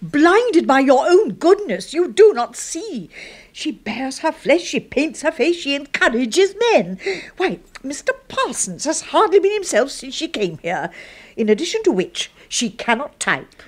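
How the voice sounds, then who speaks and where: An English actress playing a prim, priggish older secretary in a radio drama, speaking stiffly and precisely. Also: female voice, high-pitched, very animated, unhurried. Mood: outraged pleading. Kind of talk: 1970s radio drama acting.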